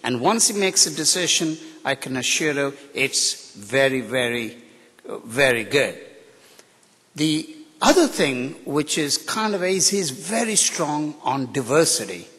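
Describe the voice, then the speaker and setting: A man's voice: on the high side, expressive, measured pace, a bit bright, husky. A middle-aged man from India with a mixed Indian, British and American accent, giving an unscripted, joking tribute speech at a podium microphone. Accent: Indian English with British and American influences. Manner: candid, joking, outspoken. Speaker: guest speaker at a ceremony. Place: large hall. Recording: reverberant hall.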